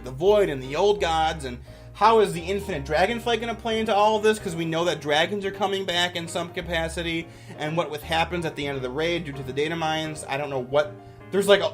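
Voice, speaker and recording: Guy with a kinda high voice rambling excitedly off the cuff for youtube, plucked guitar music behind him. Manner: rambling, enthusiastic. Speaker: YouTube lore commentator. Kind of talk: casual off-the-cuff commentary.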